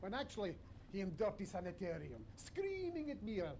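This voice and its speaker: An actor playing a foreign minister on a news panel who speaks in broken English and mocks the others with crude insults. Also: male voice, high-pitched, wildly swooping pitch, brisk, gravelly, darkish tone, a little hiss or hum, exaggerated voice. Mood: mocking. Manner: broken English, mocking insults, chuckles at own jokes.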